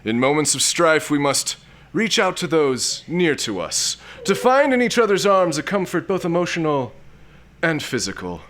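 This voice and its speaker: An actor playing a vain, lecherous, cowardly space lord in a florid, grandiose manner for a comic sci-fi audio drama. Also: male voice, fairly high pitch, very animated, moderate pace, a bit bright. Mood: smarmy, seductive.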